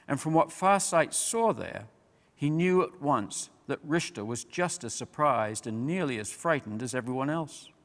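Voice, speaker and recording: A man reading a story aloud as an expressive audiobook-style narrator. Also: medium pitch, a little slow. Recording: long hall-like reverb.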